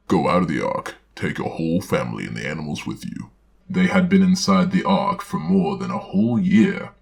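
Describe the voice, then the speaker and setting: A man acts out a dark lord reading from a storybook. The voice is very low and full of gravelly creak. The delivery is measured and deadpan, with sardonic asides. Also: exaggerated voice. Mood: dry sarcasm. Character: Darth Magog, droll dark-lord villain reading storybook aloud. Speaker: host and narrator. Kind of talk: dramatic reading with sardonic commentary.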